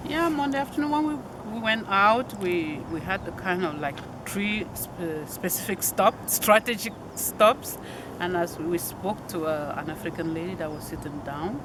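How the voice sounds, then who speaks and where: A Belgian woman whose first language is French answers interview questions in English, halting and restarting often. She speaks outdoors by a lake. The camera recording carries clear outdoor noise behind her. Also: fairly low pitch. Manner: halting, many restarts, laughs easily. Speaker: missionary.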